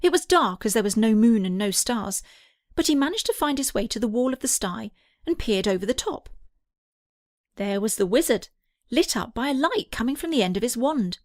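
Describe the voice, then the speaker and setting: Woman, audiobook narrator, children's storytelling, fairly high pitch, dry studio-like sound. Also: expressive, moderate pace, dry recording.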